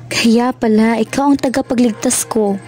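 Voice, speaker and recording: Woman acting tender, high-voiced activist heroine. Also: steady tone, moderate pace, darkish tone, natural voice. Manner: tender, reassuring. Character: caring, earnest young woman activist haunted by child. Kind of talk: amateur radio drama acting.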